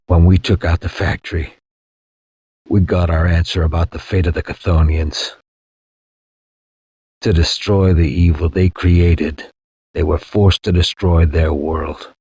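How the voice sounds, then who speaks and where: A grim veteran soldier narrates a video game war story in a very low, heavily rasping voice. The delivery is slow, solemn and flat, with a war-weary weight. It sounds close and dry over faint music. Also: male voice, dry recording, muffled recording, full character voice. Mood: grave. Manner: grave, measured, monotone. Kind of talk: solemn scripted cutscene narration.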